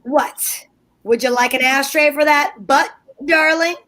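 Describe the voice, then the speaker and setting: A woman's voice: high voice, steady tone, unhurried, pushed and bright. A woman playing a sarcastic, scheming housewife in an amateur old-time radio comedy. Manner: tart, sarcastic, flustered. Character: scheming indignant housewife Liz.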